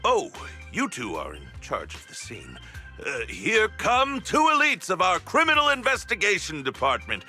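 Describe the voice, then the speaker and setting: An actor playing a vain, self-serving police inspector in a game, with a raspy, excitable voice. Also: male voice, high voice, normal speed, soft background music, heavily acted. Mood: pompous. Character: vain, self-serving male police chief, middle-aged.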